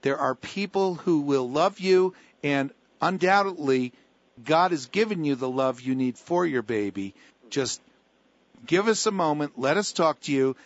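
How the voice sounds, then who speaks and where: A man's voice: on the high side, lively intonation, measured pace, dry recording, dull audio. A man hosting a talk radio show, talking with callers and guests in an emphatic, preacher-like way with a lot of repetition.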